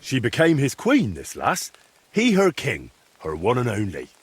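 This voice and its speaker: An actor playing a kindly, patient young man in a medieval video game, gentle and slightly hesitant as he coaxes. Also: mid-range voice, huge pitch swings, measured pace, slightly rough, full character voice. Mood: tender, reciting. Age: young adult. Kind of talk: dramatic video game dialogue.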